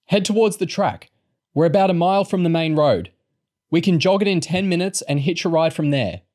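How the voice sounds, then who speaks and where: A man's voice: a little high, animated, fairly quick, pitched above the performer's own voice, natural voice. A man voicing a bossy young girl who takes charge with urgent commands in an audiobook. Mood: commanding. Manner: sharp, commanding shouting.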